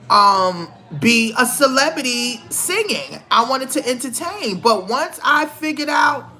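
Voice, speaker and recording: A woman's voice: on the high side, bright, forceful tone. A middle-aged woman giving casual, opinionated commentary in a conversational, emphatic way, repeating phrases for stress. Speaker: vlogger.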